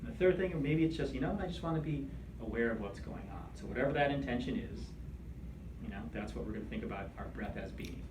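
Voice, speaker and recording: A man leading a meditation class, speaking in a gentle, soothing, conversational way. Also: mid-range voice, animated, fast. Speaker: meditation instructor. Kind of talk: guided meditation instruction. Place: room with attendees seated in chairs. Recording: echoey room, distant mic, background noise.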